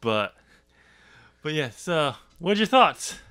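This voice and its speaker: A man hosts a casual podcast chat with amused, halting phrasing. He talks slowly, and his mid-pitched voice has a bright, sharp edge. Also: sing-song, clear, resonant tone. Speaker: podcast host and author. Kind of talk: casual discussion.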